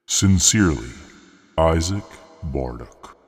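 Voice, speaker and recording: An extremely low-pitched actor as a male official fills a long reverberant space. He reads his letter formally and with measured care, in the manner of a fantasy audio drama. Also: animated, exaggerated voice.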